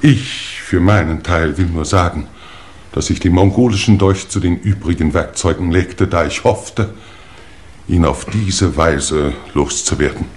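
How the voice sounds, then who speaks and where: An actor playing a pompous, self-justifying English lord in a 1950s German radio crime play, speaking formally and theatrically. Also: male voice, low-pitched, a little slow. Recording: old radio play with music behind.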